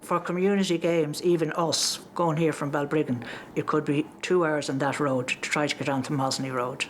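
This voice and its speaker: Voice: medium pitch, fairly even intonation, moderate pace, slight background noise. A middle-aged local with an Irish accent, recalling the past conversationally in a documentary interview. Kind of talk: conversational recollection.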